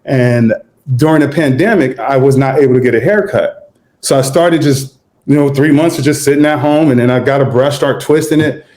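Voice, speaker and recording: A man in his forties chats as an interview guest, unhurried and easygoing, at a middling pitch. He acts out what he once thought to himself. The room adds a noticeable echo around his voice. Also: darkish tone. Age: 40s. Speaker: guest, vegan chef. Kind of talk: casual interview storytelling. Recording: roomy echo.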